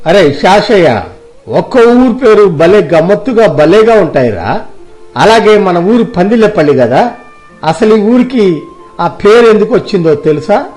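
A man's voice: high-pitched, animated, measured pace, darkish tone, faint music behind. A man playing a principled, kindly middle-aged farmer in a cartoon story, earnest and preachy. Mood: chatty.

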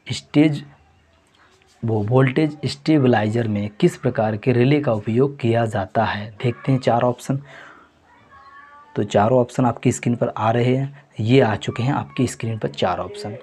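A man with a husky, slightly breathy voice works through a mock test as a teacher. His pitch sits in an ordinary middle range. He repeats the answers and keeps calling his viewers friends. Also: normal speed, a little mellow. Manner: repetitive, addresses viewers as friends. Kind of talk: exam question walkthrough.

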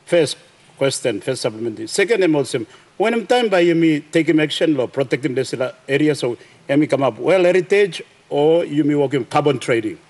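A middle-aged Papua New Guinean man, a governor asking a question in a parliament chamber, speaking haltingly with many fillers and restarts. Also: on the high side, animated, darkish tone. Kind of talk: parliamentary question time, mixing Tok Pisin. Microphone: desk mic behind a plexiglass screen.

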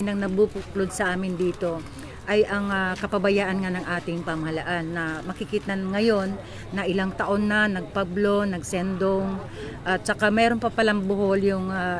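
Older woman activist amid street noise. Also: mid-range voice. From Philippines. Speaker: activist, disaster survivor spokesperson. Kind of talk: press interview, impassioned advocacy. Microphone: handheld reporter microphone. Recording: street crowd and traffic noise.

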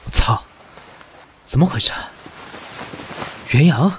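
A man playing an indignant, sharp-tongued boss in a modern audio drama, curt and scornful. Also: medium pitch, expressive, takes it slowly, a little hiss or hum. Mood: shocked, angry.

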